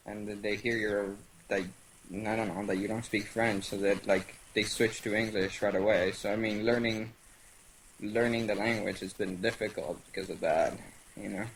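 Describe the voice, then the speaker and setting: A young man from Venezuela whose first language is Spanish, telling stories in a halting casual interview with many fillers. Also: on the low side, fairly bright tone, a little hiss or hum, rough recording. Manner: halting, lots of fillers and restarts. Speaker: guest. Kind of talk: casual interview over voice chat.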